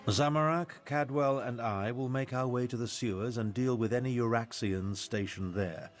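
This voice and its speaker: An actor playing a proud, touchy, dry-witted male spellcaster in a video game, speaking formally and deliberately. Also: medium pitch, faint music behind, natural voice. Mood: grave, explanatory.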